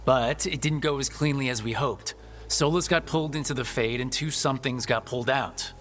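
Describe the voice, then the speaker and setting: An actor playing a resolute young male adventurer who leads a team in a video game, calm and direct. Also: mid-range voice, moderate pace, slight background noise, lightly acted. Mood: frank, steady. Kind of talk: video game dialogue acting.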